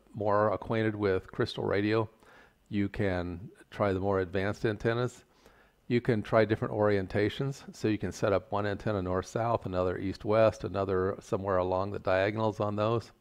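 An older man giving a measured, plain-spoken, step-by-step tutorial explanation. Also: lowish voice, fairly even intonation. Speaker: instructor. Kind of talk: explanatory tutorial narration over slides.

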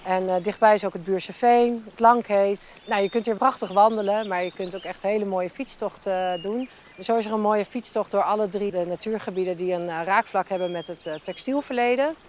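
A woman with a mid-pitched voice welcoming guests to her hotel. She explains things politely and informatively, often pausing for fillers, with faint noise in the background. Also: a little mellow. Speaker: hotel owner.

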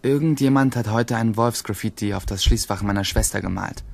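A man acting a stressed, secretive teenage schoolboy narrating his own life in a radio play, with a husky, gravelly voice. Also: fairly low pitch, brisk. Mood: brooding, secretive. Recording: dry studio, faint music behind.

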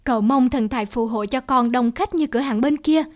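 A woman's voice: high-pitched, a little fast, lightly acted. A woman voicing a devout, short-tempered grandfather who keeps a shop, in a cartoon moral tale, with formal, pleading phrasing. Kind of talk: animated moral tale dubbing. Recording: music behind the voice.

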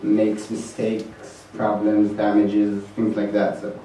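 Low mellow man with an Israeli accent. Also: completely flat delivery, slow pace. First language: Hebrew. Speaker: panelist. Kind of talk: conference panel answer. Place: conference hall. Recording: echoey hall from far off, light noise.

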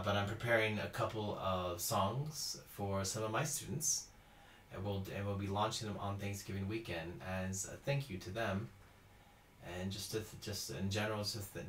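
A man's voice: fairly low pitch, a bit bright, a little hiss or hum. A man in his thirties from Houston, a cello teacher chatting casually on a live-stream Q&A, reading out viewer comments and laughing often. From Houston, Texas. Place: small indoor room at home. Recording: roomy echo.

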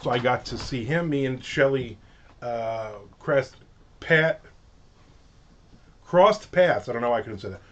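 A man's voice: medium pitch, measured pace, pauses often, a bit bright, dull audio, slight background noise. Rambling New Jersey man, livestream chat. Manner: rambling, chatty, lots of fillers and restarts. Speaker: author hosting a livestream. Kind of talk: casual chat. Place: home office with shelves of collectibles.

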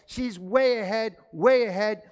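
A pastor giving a children's sermon in a church, telling an animated story and asking the children questions. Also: male voice, high-pitched, measured pace, clear, resonant tone. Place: church sanctuary. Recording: echoey church from far off.

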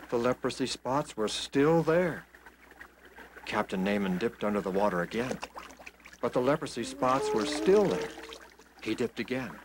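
A man's voice: on the low side, noisy background. A man narrating a children's story in a dramatic scripted style, with simple emphatic phrasing.

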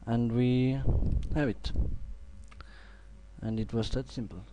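A young man speaking English with an Estonian accent explains code on a screen recording. He talks slowly and hesitantly, often stopping and restarting, in a soft, mellow voice. Faint keyboard typing and clicks run behind him. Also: mid-range voice, steady tone, a little hiss or hum, poor audio. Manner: halting, hesitant, many fillers and restarts. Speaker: programming tutor. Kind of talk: screen-recorded coding tutorial.

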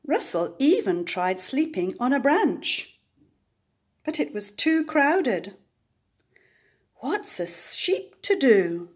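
An older woman reads a children's picture book aloud at a slow, careful pace. Her pitch rises and falls widely, putting dramatic emphasis on key words for young listeners. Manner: deliberate, expressive storytelling with dramatic emphasis. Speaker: children's story reader.

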